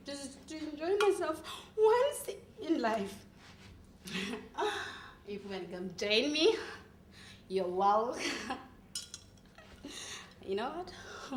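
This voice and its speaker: A woman's voice: on the high side, a bit bright, slight background noise, pitched below the performer's own voice, full character voice. A woman playing a volatile, heartbroken young woman in a melodramatic acting monologue. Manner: melodramatic, tearful outbursts and drawn-out pleading. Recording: echoey room.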